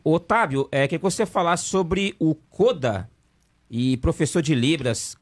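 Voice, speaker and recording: A man hosting a radio interview, asking questions haltingly with many fillers and restarts. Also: a little high, animated. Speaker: radio show host. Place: radio studio.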